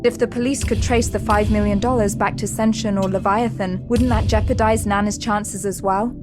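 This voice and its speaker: A woman's voice: mid-range voice, steady tone, normal speed, lightly acted. A woman narrating a sci-fi audio drama in a grave, suspenseful storytelling style. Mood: ominous. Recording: prominent dramatic music under the voice.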